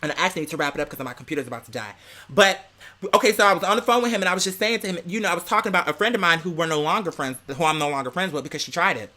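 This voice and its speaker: A man's voice: on the high side, very fast, sharp tone. An American man telling a casual story as a rant to viewers, with a sassy, theatrical, slang-heavy way of talking. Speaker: vlogger.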